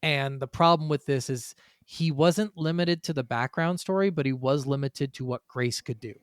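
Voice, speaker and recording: A man's voice: medium pitch, moderate pace, clean tone, no echo, studio quality. A man co-hosting a gaming podcast in a casual, blunt way, swearing freely as he chats. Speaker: podcast co-host.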